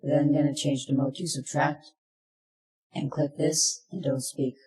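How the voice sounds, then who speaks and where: A presenter narrating a software tutorial in plain, step-by-step instructions, recorded in an echoey room. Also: medium pitch, level tone, unhurried.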